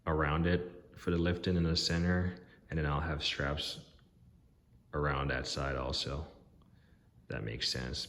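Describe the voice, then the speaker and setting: Very deep-voiced man in an echoey garage. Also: a bit bright, slight background noise. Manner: halting, lots of ums and restarts. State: hoarse, losing his voice. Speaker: DIY garage vlogger. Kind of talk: casual rambling walkthrough. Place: home garage. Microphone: handheld phone camera.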